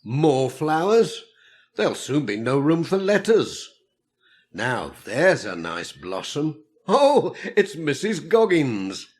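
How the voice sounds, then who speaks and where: A man's voice: fairly high pitch, big pitch swings, takes it slowly, darkish tone. A man voicing a cheerful, easygoing village postman with a dry wit and chatty, folksy talk in a children's audiobook. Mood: cheerful, curious.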